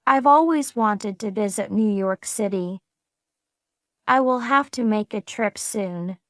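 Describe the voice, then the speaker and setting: A woman playing a friendly everyday speaker in a beginner English lesson dialogue. Her voice is mid-pitched, and she takes each sentence slowly. The recording is close and dry. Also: no room sound, natural voice. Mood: plain. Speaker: dialogue partner in English practice. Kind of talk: slow scripted practice dialogue.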